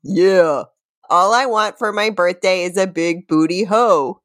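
A woman's voice: fairly low pitch, no room sound, clean studio sound. A woman co-hosting a comedy podcast, giving mock dramatic readings and casual banter in a theatrical, giggle-prone way. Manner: theatrical, deliberate delivery of silly lines, prone to giggling. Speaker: podcast co-host reading song lyrics.

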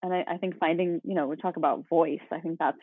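A woman with a low voice talks casually as an interviewed writer, thinking aloud. The audio is narrow, like a phone line. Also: fairly even intonation, fast, slightly rough, dry recording.

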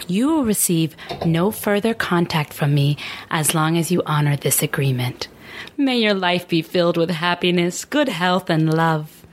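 A woman acts out a wounded ex in a comic radio play about a breakup. The voice is a bit low, and the ex holds on to a strained civility over real bitterness. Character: bitter ex-partner feigning civility after a breakup. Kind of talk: dramatic reading of breakup messages.

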